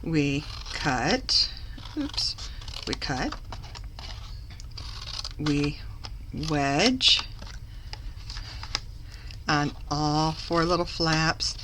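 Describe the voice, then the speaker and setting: A woman recovering from a cough, slightly hoarse, chatting through a papercraft tutorial as she works and correcting herself along the way. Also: deep voice, expressive, drawn-out words, long pauses, background noise. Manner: chatty, self-correcting, talks while working. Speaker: papercraft demonstrator. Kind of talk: live craft tutorial.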